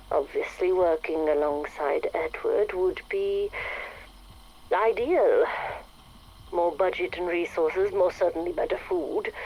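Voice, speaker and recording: An actress playing a haughty, ambitious facility worker with a dry, condescending, measured manner. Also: female voice, low voice, darkish tone, rough recording. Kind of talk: acted audio log.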